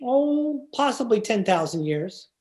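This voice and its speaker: A woman's voice: on the high side, big pitch swings, unhurried, mellow. A woman playing an earnest, pedantic, mild-mannered male science teacher in a 1950s-style radio comedy, speaking hesitantly.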